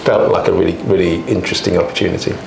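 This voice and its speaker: A man's voice: low voice, fairly even intonation, rapid-fire. A middle-aged man answering interview questions in a conversational, thoughtful way, hesitating and often repeating words. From born in Hong Kong, living in the UK before China. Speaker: interview guest, emergency doctor. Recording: roomy echo, clear background noise.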